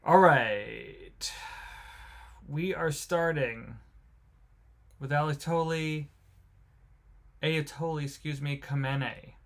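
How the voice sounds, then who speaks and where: A man runs a casual live watch-along and talks straight to his viewers. He jokes, laughs easily and greets people by name between remarks. His voice sits a little higher than most men's. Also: slow pace, long pauses. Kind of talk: casual live-stream commentary.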